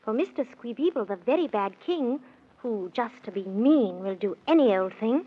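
A woman's voice: fairly high pitch, animated, moderate pace, breathy edge. An actress playing a good fairy-tale queen who gives reassuring instructions in theatrical rhyme for a 1930s children's radio serial. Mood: reassuring, instructive. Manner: theatrical, sing-song rhyming instruction. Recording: dull vintage 1930s radio recording.

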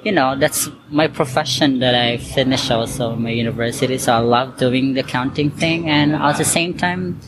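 A man's voice: mid-range voice, moderate pace, few pauses. A man from Cebu in the Philippines, answering interview questions in a chatty, loosely phrased way full of "like" and "you know". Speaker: interviewee, accountant and Zumba instructor. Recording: noisy background hiss.

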